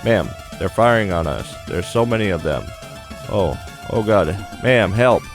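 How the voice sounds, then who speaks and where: A man's voice: fairly low pitch, expressive, a little slow, music in the background. A man voicing a panicking, stammering fighter pilot calling over the radio in a sci-fi game. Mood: panicked. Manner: stammering, frantic.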